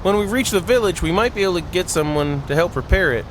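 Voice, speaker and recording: Man playing a mild traveler, lively highish voice. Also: fast talker, noisy background. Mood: plain, reassuring. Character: practical, mild-mannered adult traveler sheltering from winter storm.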